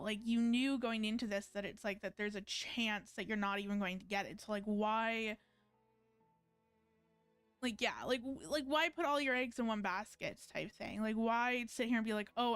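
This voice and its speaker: A woman's voice: a little high, a bit bright, clean tone, dry recording. A woman streaming a game with casual, colloquial commentary, rambling and often interrupting herself mid-thought. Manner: rambling, colloquial, frequent hedging and self-interruption.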